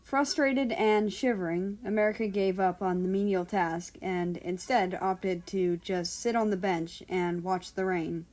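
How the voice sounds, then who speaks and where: A woman reading fiction aloud as an expressive narrator of a story. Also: medium pitch, a little slow, hardly any pauses. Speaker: fan fiction reader.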